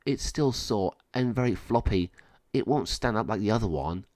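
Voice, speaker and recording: A man voicing a gentle, good-hearted young stray dog with an earnest, plaintive manner in a storybook reading. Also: on the low side, lively intonation, normal speed, no room sound, lightly acted.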